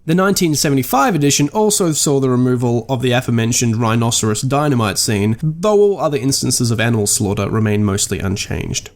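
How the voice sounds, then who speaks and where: Male narrator, medium pitch, very varied intonation, scripted essay style, wry and understated, articulate. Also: lively intonation, a little fast, few pauses. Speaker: podcast host and narrator.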